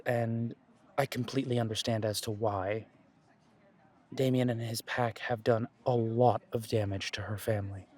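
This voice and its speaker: A man playing a protective, dutiful werewolf pack leader in an audio drama, speaking in an earnest, measured way with dry humour. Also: medium pitch, a little mellow, close to an everyday voice. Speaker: voice actor in audio roleplay. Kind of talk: scripted audio roleplay drama.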